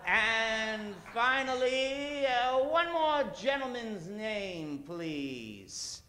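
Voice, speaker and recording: A man's voice: very high voice, expressive, very slow, bright, forceful tone, slight background noise. A man hosting a live comedy radio show from a theater stage, bantering with the audience and drawing out names in a theatrical announcer style.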